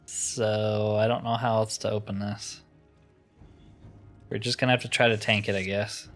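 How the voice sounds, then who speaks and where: A man's voice: on the low side, level tone, moderate pace, a bit bright, soft background music. A man giving casual let's-play commentary, musing aloud with wry asides as he plays. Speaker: gamer narrating his playthrough.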